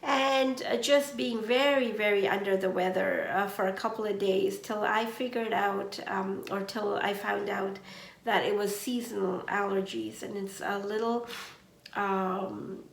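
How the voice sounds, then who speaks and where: Slow knitting podcast lady, bit congested, gravelly voice, roomy echo. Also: medium pitch, fairly even intonation, a little hiss or hum. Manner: slow, gentle, with many ums and uhs. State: congested from seasonal allergies. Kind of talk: casual chat. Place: home.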